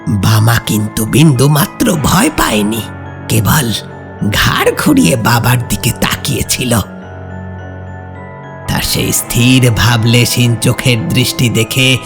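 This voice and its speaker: A man narrating a folk horror story in a suspenseful, theatrical way with drawn-out pauses, as a scripted dramatic reading. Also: mid-range voice, wildly swooping pitch, gravelly. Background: background music.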